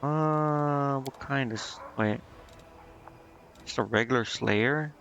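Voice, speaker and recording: Gravelly-voiced man casually streaming a game. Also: mid-range voice, takes it slowly, a bit bright. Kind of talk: casual gameplay commentary, thinking aloud. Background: game music.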